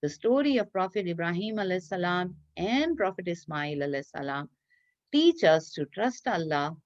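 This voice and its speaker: A woman telling a story and teaching children in an expressive, encouraging, teacherly way over a video call. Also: lowish voice, moderate pace. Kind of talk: read-aloud storytelling and quizzing children in an online class. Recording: dull lo-fi online class audio.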